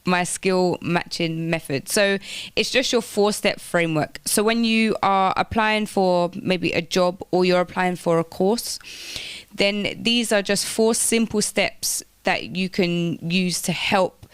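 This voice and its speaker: A woman's voice: on the low side, takes it slowly, clean tone, no echo. A woman presenting informal careers advice on the radio, explaining things step by step and repeating phrases for emphasis. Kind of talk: informal instructional talk on job applications.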